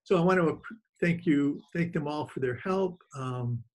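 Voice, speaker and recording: A man informally hosting an online event, speaking in a halting, appreciative way as he gives thanks and announcements over a video call. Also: a little high, poor audio. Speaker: host of an online book reading, press editor.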